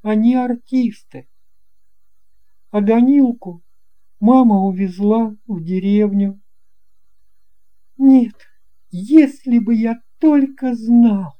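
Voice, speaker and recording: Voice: mid-range voice, slow pace, long pauses, lo-fi sound, pitched above the performer's own voice, lightly acted. A performer voicing an earnest young boy in a children's audio story, speaking in an emphatic, childlike and insistent way. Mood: upset, regretful. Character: earnest young boy recalling lost friends.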